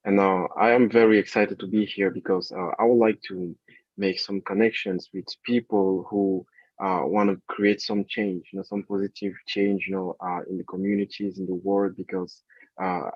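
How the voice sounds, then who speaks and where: A man's voice: low-pitched, normal speed, no echo. A man from Cameroon introducing himself on a video call, enthusiastic and loose, often saying "you know". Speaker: program delegate. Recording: dry, dull video call audio.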